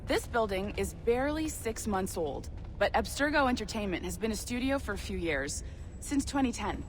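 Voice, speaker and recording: An actress playing a brisk, upbeat company project supervisor, encouraging and breezily professional, in a video game cutscene. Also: female voice, mid-range voice, animated, soft background music, close to an everyday voice, rough recording. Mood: upbeat, businesslike.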